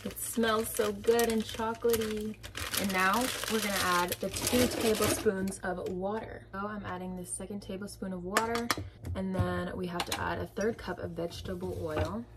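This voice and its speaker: Young woman, casual chatty vlog, home kitchen, phone camera, clear kitchen clatter and clicks. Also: medium pitch, steady tone, moderate pace, very noisy. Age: young adult. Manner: chatty, upbeat, narrating each step as she goes. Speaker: vlogger.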